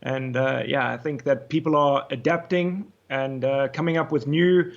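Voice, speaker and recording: A man's voice: medium pitch, steady tone, normal speed, creaky, dull audio. A man from South Africa guesting on a video call, talking casually with many hesitations. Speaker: guest wedding photographer. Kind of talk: casual interview chat.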